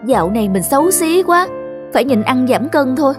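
A woman voicing an insecure, self-critical young bride-to-be who frets about her weight, in animated cartoon dialogue. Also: high-pitched, a little fast. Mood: glum. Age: young adult. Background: music.

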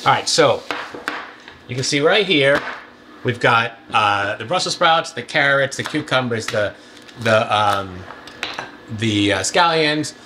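A man's voice: slow pace, pauses often, background noise. A middle-aged man co-hosting a cooking show, casually walking through his meal prep in a home kitchen with many fillers. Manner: easygoing, lots of fillers, pitch jumps up when excited. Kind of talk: casual cooking demo chat.

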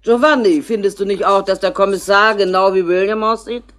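An actress playing a boozy, sentimental, middle-aged barmaid in a radio crime drama, drawling and maudlin. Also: female voice, medium pitch, fairly even intonation, brisk, fairly bright tone, natural voice. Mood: chatty. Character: boozy, sentimental ageing barmaid, lonely and chatty. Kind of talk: 1960s German radio crime drama acting.

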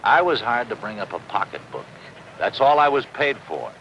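An actor playing a cynical, world-weary, money-minded middle-aged deep-sea diver, wisecracking in a 1950s television crime drama. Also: male voice, very animated, moderate pace, fairly bright tone, dull audio, slight background noise. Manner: sardonic, wisecracking.

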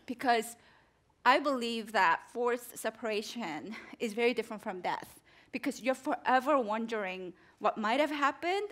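A woman's voice: on the high side, a bit bright. Korean-accented woman, 40s, onstage interview guest, earnest, headset mic. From South Korea. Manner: earnest, reflective, gesturing with her hands. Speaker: journalist guest. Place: theater stage before a live audience.

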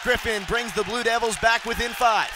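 Young man calling basketball play-by-play, excited. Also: very high-pitched, a crowd in the background, noisy background. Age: young adult. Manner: rapid excited calls, shouting on big plays. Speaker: play-by-play announcer.

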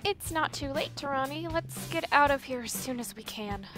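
Woman voicing an insecure, high-pitched moody teen girl. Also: animated, normal speed, fairly bright tone, faint music behind. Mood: anxious. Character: insecure, moody, self-deprecating teenage girl. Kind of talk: fan comic dub acting.

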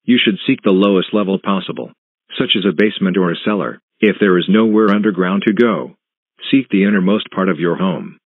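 A man reading a scripted emergency alert as an announcer, in a flat, measured, synthetic-sounding delivery with clipped phrases. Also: deep voice, no echo. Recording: muffled, narrow phone-like broadcast sound.

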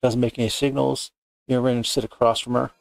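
A man's voice: medium pitch, level tone, a little fast, gravelly, no room sound, rough recording. A man reading second-person story narration aloud as the narrator of a game playthrough.

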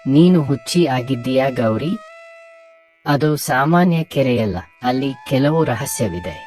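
A man's voice: mid-range voice, animated, unhurried, a little mellow, faint music behind, natural voice. A man voicing a kindly village fisherman husband in a cartoon moral story, earnest and reassuring.

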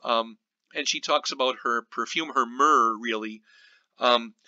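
A male pastor giving an informal Bible study commentary in a halting, reflective teaching manner. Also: mid-range voice, sharp tone, no room sound, muffled recording.

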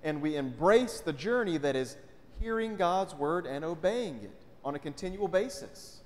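A man's voice: a little high, expressive, normal speed. A man, a pastor preaching a sermon in a conversational way and often asking the congregation questions. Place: large church sanctuary, indoors. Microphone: pulpit mic. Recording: long church hall reverb.

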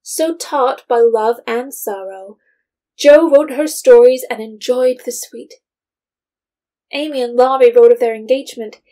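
A woman's voice: on the high side, unhurried, dark tone, lightly acted. A woman narrator reading a scripted period story as storytelling narration.

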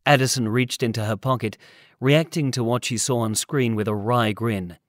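A man narrates a story as an audiobook reader. His voice sits at a medium pitch and is recorded dry, with no room sound. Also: steady tone, moderate pace, no room sound.

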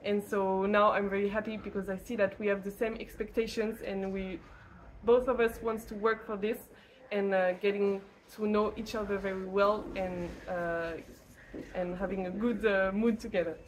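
A young French woman, a native French speaker, giving a casual testimonial to camera in English, halting and searching for words. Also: medium pitch, flat delivery, a little hiss or hum, rough recording. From France. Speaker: lay missionary teacher.

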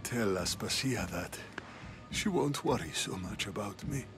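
Sick old statesman, talks real slow and tired, game music behind. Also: on the low side, expressive. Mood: weary. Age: older. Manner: slow, weary. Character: frail, dying old statesman, wistful. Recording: game score playing behind.

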